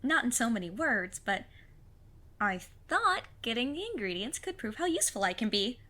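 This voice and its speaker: A plucky fifteen-year-old girl for an audio drama. The voice is high and bright, with very lively pitch movement. She speaks earnestly and literally, as a matter of fact. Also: moderate pace, full character voice. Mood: hopeful, earnest.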